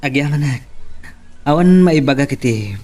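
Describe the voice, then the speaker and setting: A man's voice: on the high side, lively intonation, moderate pace, a little mellow, natural voice. A man playing a subdued, weary and affectionate man in love in an Ilocano radio drama. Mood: tired, grateful.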